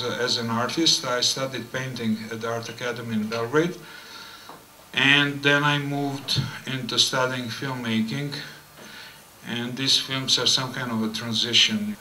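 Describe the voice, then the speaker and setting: A man's voice: medium pitch, pushed and bright. A man from Serbia, an artist and filmmaker explaining his own work, recorded at a distance in an echoey room. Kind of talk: talk about his own films. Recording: distant mic, echoey room, faint noise.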